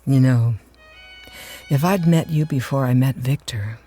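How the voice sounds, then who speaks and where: A woman's voice: a bass voice, a little slow, dark tone, soft background music. An actress playing a flirtatious, scheming married woman in a radio drama, coy and coaxing in manner. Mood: teasing, coaxing.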